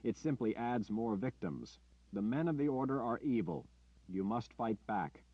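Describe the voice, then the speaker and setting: A man voicing a commanding male warrior leader who speaks forcefully and emphatically. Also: mid-range voice, normal speed, dry recording, voice pushed up, lightly acted. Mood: urgent. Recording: dull dry lo-fi audio.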